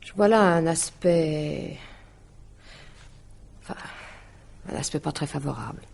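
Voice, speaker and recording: An actress playing a courteous, hesitant woman who reads horoscopes, measured and polite, in a 1950s-style French radio drama. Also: low-pitched, gravelly, darkish tone, heavily acted. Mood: uneasy, hesitant. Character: courteous, hesitant woman translator and amateur astrologer.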